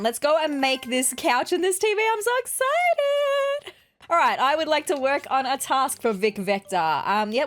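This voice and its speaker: An Australian woman with a fairly high, nasal voice talks her way through a building game in a bubbly, chatty way. She thinks aloud, counts pieces and reacts as she goes. Light game music sits quietly behind her. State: nasal from seasonal allergies. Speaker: let's play gaming host. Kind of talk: casual gameplay commentary.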